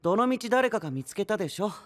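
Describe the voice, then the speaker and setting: A man's voice: sits high, lively intonation, racing, no echo, studio quality. A man voicing a cool, blunt young knight in an anime-style voice drama, with a light, offhand, casual delivery. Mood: offhand, skeptical. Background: background music.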